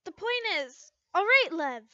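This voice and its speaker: Woman as stubborn teen girl, bright high voice, emphatic, dismissive, storybook reading, muffled sound. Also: very animated, measured pace, no room sound, exaggerated voice. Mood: exasperated, dismissive. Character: stubborn, exasperated teenage girl denying her crush. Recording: muffled lo-fi home recording.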